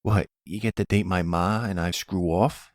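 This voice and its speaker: A man playing a troubled young adult son in a roleplay audio drama, casual and defensive, with a husky voice and raw feeling. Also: sits low, steady tone, moderate pace, no room sound, studio quality, close to an everyday voice. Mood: sulky, startled.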